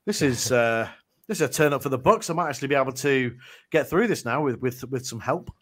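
A man's voice: medium pitch, quick pace. A man, co-hosting a podcast over a video call, chatting loosely and swearing as he rambles. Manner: rambling, swears, animated retellings. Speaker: podcast co-host. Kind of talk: casual chat. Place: home room with shelves.

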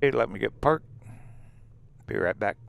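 Low gravelly guy chatting along while he drives, laid back, pointing stuff out from inside the car. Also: a little slow, highs cut off, slight background noise. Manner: relaxed, rambling, points out sights as he goes. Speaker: RV travel vlogger. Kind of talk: casual narrated drive and drone tour. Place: inside a vehicle driving desert roads.

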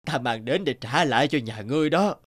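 A man voicing a wise, stern old river god in a cartoon, speaking slowly and deliberately. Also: medium pitch, animated, soft background music, natural voice. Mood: solemn, benevolent. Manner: slow, deliberate, pronouncing.

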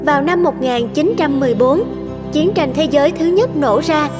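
High-voiced woman narrator over music. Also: moderate pace. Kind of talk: scripted storybook narration for a cartoon. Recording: background music under the voice.

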